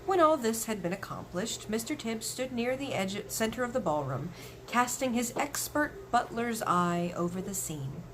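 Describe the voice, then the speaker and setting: A woman reading a children's book aloud as an expressive storyteller. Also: fairly high pitch, normal speed, slight background noise. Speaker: story reader.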